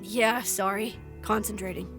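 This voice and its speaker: A woman playing a blunt, easily bored agent with a terse, deadpan manner in an audio drama. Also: mid-range voice, unhurried, music in the background, natural voice. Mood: distracted, casual. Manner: terse, deadpan, casually profane. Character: blunt, sardonic, easily bored female agent relearning to write. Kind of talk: naturalistic audio drama dialogue.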